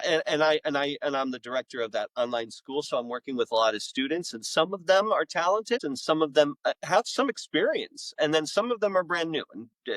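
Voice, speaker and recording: A middle-aged man chatting as an interview guest, enthusiastic and emphatic, pausing and repeating words for effect. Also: a little high, fairly bright tone, no echo, rough recording. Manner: enthusiastic, emphatic storyteller with dramatic pauses and repetition. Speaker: author and voice-acting school director, podcast guest. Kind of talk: casual, animated interview chat.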